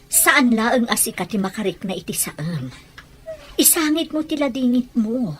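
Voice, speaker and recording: An actress playing a comforting older aunt with a Filipino accent, soft and coaxing, in a melodramatic audio drama. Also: female voice, fairly high pitch, expressive, a little slow, slight background noise. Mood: tender, consoling. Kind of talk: melodramatic Filipino radio-style drama acting.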